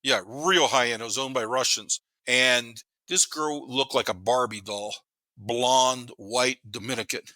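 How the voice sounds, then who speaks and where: Bright, punchy man in his fifties. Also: mid-range voice, measured pace, no echo. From Panama. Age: 50s. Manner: blunt, slangy, profane, repeats words for emphasis. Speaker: podcast guest. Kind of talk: casual chat, storytelling.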